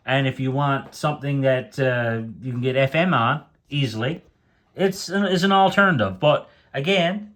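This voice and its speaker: A man's voice: mid-range voice, a bit bright. A man casually showing and comparing old-style radios at home, speaking hesitantly with many fillers and restarts, from behind the camera. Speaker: radio collector. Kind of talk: casual show-and-tell commentary. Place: indoors, home room with radios on floor.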